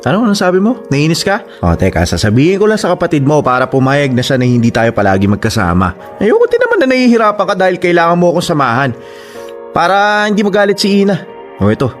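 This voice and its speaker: A man's voice: a little high, wildly swooping pitch, fast. An actor playing a lovesick, insistent young man in a Filipino radio drama, pleading and teasing in a dramatic way. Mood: coaxing. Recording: music under the voice.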